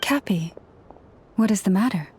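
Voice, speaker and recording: A woman playing a serene, solemn priestess in a video game, telling old legends in a measured, formal, reverent way with soft endings to her lines. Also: medium pitch, expressive, slight background noise, natural voice. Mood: concerned. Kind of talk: scripted video game storytelling.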